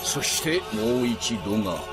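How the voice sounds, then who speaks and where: A man's voice: mid-range voice, big pitch swings, brisk, natural voice, rough recording. A man playing a lecherous old hermit ninja. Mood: boastful. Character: older male hermit ninja, boastful and lecherous. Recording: anime clip with music behind. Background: music.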